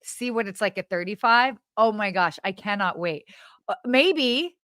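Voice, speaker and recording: A woman co-hosting a podcast in casual live chat, with an animated, giggly, exclamatory way of talking. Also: medium pitch, unhurried, no echo, clean studio sound. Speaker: podcast co-host.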